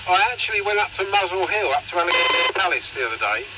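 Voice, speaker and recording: A man's voice: high voice, sing-song, rapid-fire. A man chatting casually over a two-way radio as an amateur operator. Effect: two-way radio transmission. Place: sitting inside a car. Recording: noisy, muffled, distant radio reception.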